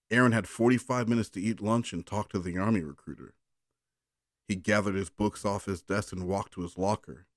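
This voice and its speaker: A man's voice: lowish voice, no echo, studio quality. A man chatting casually and reading a story aloud on a phone call, coaxing and teasing in a rambling way. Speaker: bedtime story reader on a call.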